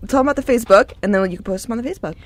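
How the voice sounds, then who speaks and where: A young American woman hosting a college radio show, chatting casually in an animated, encouraging way. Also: fairly high pitch, very fast, no echo. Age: young adult. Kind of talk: casual group chat on radio.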